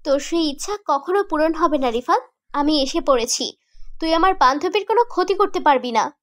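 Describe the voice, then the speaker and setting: A very high, piercing young female ghost played by a woman from a horror cartoon. She is betrayed and vengeful, and speaks in emphatic, accusing phrases. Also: expressive, moderate pace, fairly bright tone. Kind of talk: dramatic horror cartoon acting.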